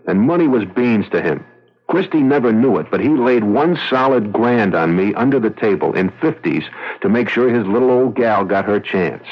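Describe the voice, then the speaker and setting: An actor with an American accent playing a self-serving young agency gopher in a radio drama, slangy and hard-boiled, with a husky voice. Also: male voice, fairly low pitch. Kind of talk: 1970s radio drama acting. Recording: dull vintage radio recording.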